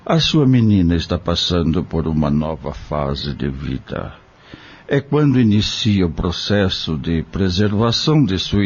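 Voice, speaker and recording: Um homem com sotaque brasileiro interpretando um médico bondoso e paciente em radionovela, explicando e aconselhando com gentileza. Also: male voice, deep voice, breathy edge, heavily acted. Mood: grave, explanatory. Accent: Brazilian. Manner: slow, explanatory, gentle counsel. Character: kindly male doctor, patient, caring spiritist. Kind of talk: audio drama acting. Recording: dull lo-fi audio.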